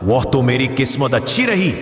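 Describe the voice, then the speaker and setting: A man's voice: medium pitch, sing-song, normal speed, natural voice. A man voicing a wary, self-satisfied male tiger in an animal cartoon, talking animatedly to himself. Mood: relieved, wary. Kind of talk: animated moral story monologue. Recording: echoey, long reverb.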